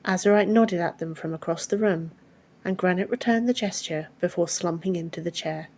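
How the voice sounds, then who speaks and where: A woman narrates a fantasy audiobook in a fairly low register. The delivery is scripted storytelling, read as the narrator of the tale. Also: normal speed, darkish tone.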